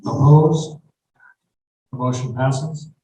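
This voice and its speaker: A man's voice: medium pitch, fairly even intonation, dark tone, soft background music. Man chairing a meeting in an echoey hall. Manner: brisk procedural, quickens when explaining. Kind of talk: running a council meeting. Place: council auditorium. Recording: echoey hall from far off, faint noise.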